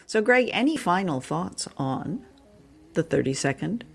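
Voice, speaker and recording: A woman's voice: fairly low pitch, big pitch swings, unhurried, creaky, husky. Woman co-hosting, music underneath. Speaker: podcast co-host. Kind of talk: conversational radio drama review.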